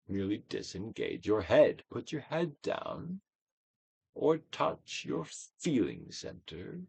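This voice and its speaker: A man giving a theatrical, Shakespearean-style dramatic reading of a self-help book, with deliberate pauses. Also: lowish voice, vocal fry, fairly bright tone, slightly rough, no echo. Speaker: audiobook reader, actor.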